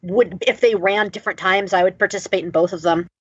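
A woman's voice: lowish voice, steady tone, fast talker, breathy edge, dry recording. A woman co-hosting a podcast, chatting very casually. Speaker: podcast co-host. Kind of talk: casual chat. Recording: dull, lo-fi audio.

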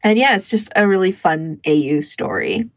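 A woman's voice: mid-range voice, expressive, moderate pace, no room sound, clean studio sound. A woman co-hosting a podcast in casual, blunt, informal chat. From Canada. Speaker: podcast co-host.

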